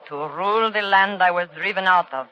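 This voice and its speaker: An actress playing a haughty middle-aged exiled plotter, speaking sternly and formally in 1930s radio drama style. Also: female voice, on the low side, brisk, natural voice. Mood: cold, intent. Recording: muffled lo-fi 1930s radio broadcast.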